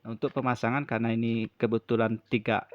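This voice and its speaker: A man's voice: mid-range voice, flat delivery, fast, smooth, dull audio. A man gives an unscripted hands-on repair tutorial, explaining step by step and often repeating himself. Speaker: phone repair tutorial presenter.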